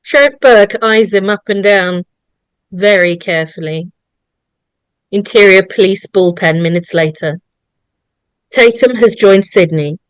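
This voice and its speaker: A woman reading scripted stage directions as narrator, deliberate and storytelling. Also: medium pitch, clear, resonant tone, dry recording.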